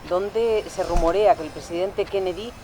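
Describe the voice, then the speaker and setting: Actor as high-pitched urgent news reporter. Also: male voice, normal speed, a loud crowd, lightly acted. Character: male 1960s news reporter giving breaking bulletin. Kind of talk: news bulletin acting in audio drama.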